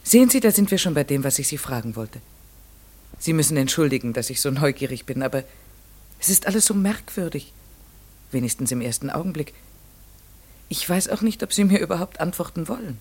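A man's voice: a little high, expressive, fairly quick, long pauses, darkish tone, breathy edge. An actor playing a curious, courteous, methodical man in a classic radio play, speaking in a careful, articulate and inquisitive way. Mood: polite, tentative. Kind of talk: classic German radio play, narration and dialogue.

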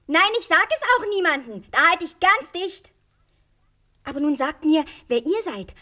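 A woman's voice: very high voice, very animated, moderate pace, pushed and bright, exaggerated voice. A woman playing a naive, chatty, girlish princess in a fairy-tale radio play for children, artless and earnest.

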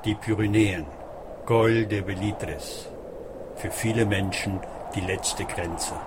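A man's voice: lowish voice, fairly even intonation, slow. A man reading scripted narration for a radio documentary. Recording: clear ambient noise behind.

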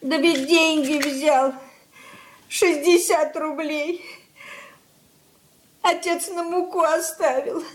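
A woman's voice: sits high, fairly even intonation, slow, long pauses, slight background noise. An actress in a radio drama playing an anxious, submissive older mother, plaintive and pleading. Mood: anxious, helpless. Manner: plaintive lamenting with folksy diminutives. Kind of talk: Russian stage-style radio drama acting.

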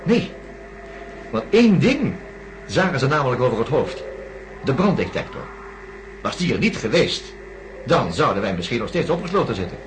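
A man's voice: mid-range voice, big pitch swings, moderate pace, long pauses, natural voice. An actor playing a doctor in a radio drama, patiently laying out his theory in an earnest, explanatory way. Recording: noisy radio play recording.

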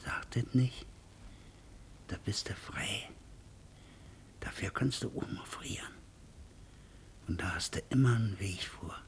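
An actor playing a hardy, contented tramp in a 1950s German radio drama, speaking in a hushed, plain, wistful way. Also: male voice, measured pace, long pauses, whispered. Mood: wistful, consoling. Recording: old radio recording with faint rumbling noise.

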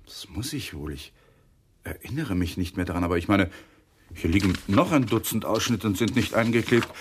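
An actor in a German radio crime drama playing an uneasy young lawyer, evasive, defensive and stiffly courteous under questioning. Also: male voice, low-pitched, animated, normal speed, a little hiss or hum.

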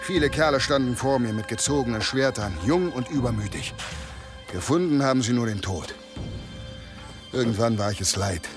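An actor playing a weary, gruff former berserker warrior in a German audio drama, terse and grimly resigned. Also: male voice, mid-range voice, moderate pace, music in the background, close to an everyday voice.

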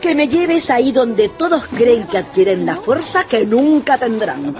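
An actress playing a sharp-tongued, rich old Russian grandmother in a radio drama, haughty, mocking and commanding. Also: fairly high pitch, very animated, measured pace, music in the background. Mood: imperious, eager.

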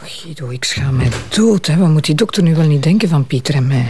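An actress playing the exasperated, ashamed wife of a drunken police commissioner in a Flemish radio drama. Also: female voice, low voice, expressive, a little fast, mellow, a little hiss or hum. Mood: worried, ashamed. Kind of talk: naturalistic radio drama acting.

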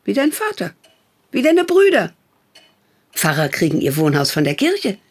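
A strict, thrifty widowed mother in a radio drama who questions her grown son in short, sharp lines. Her husky voice climbs and falls a lot, and she sounds stern and disapproving. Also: medium pitch, wildly swooping pitch, normal speed. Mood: questioning. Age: older. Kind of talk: radio play acting.

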